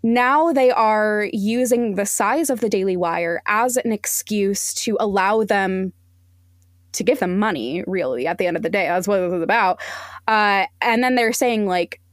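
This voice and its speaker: A woman speaking as a podcast guest, giving opinions in an emphatic, deliberate way. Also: mid-range voice, dry recording, clean studio sound. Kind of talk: opinionated discussion.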